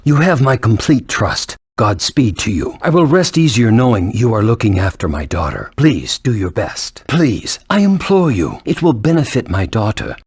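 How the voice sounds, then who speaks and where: An actor voicing a solemn middle-aged leader and father in a video game, speaking with formal, measured authority. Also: medium pitch, darkish tone, slightly rough, no room sound, studio quality. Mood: commanding, then imploring.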